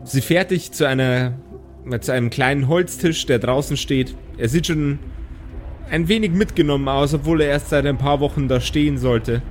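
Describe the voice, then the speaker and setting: A man's voice: medium pitch, normal speed, soft background music. A man narrating an improvised tabletop audio play as its game master.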